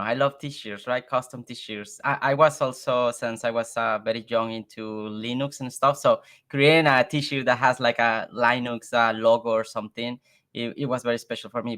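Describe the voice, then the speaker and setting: A man from Mexico, a native Spanish speaker with a Mexican accent, talking casually and haltingly as an interview guest. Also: mid-range voice, a bit bright, smooth. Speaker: guest, software engineer.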